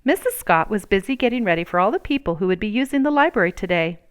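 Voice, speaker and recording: Woman storybook reader, expressive and deliberate, clean resonant delivery, mid-range voice. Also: medium pitch. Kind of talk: scripted children's story read-aloud.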